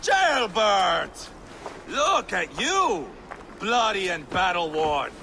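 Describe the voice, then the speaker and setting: An actor playing a hot-blooded, boisterous Viking warrior in a video game, loud and taunting. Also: male voice, high-pitched, big pitch swings, extremely slow, vocal fry, a little hiss or hum, full character voice. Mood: exuberant.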